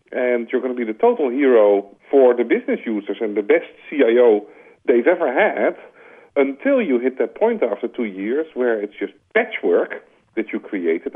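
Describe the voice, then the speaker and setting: A Dutch-accented man, speaking at an ordinary mid pitch, talks through his ideas in a relaxed, conversational way, often dropping in "like" and reaching for homely comparisons. His voice comes through a thin, tinny phone line. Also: breathy edge. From Netherlands. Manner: conversational, uses "like" as a filler, makes his points with vivid analogies. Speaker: interview guest, corporate strategy executive. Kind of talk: explanatory interview answers. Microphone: telephone.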